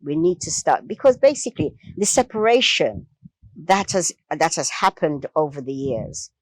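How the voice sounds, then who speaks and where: A woman's voice: low voice, lively intonation, normal speed, a little mellow, no echo. A woman speaking as an interview guest, emphatic and impassioned, repeating phrases for weight. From Jamaica. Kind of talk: impassioned discussion.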